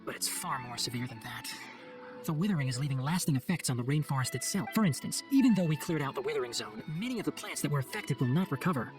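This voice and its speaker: A man's voice: a little high, expressive, fast, over music, natural voice. An actor playing an earnest, scholarly young male forest ranger in a video game cutscene, speaking formally and explaining things with composure. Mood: earnest, grave.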